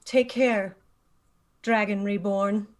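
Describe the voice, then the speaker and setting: A woman playing a stern, commanding leader of a mystic order in a dramatic screenplay table read, authoritative and measured. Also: medium pitch, steady tone, close to an everyday voice. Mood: coolly commanding.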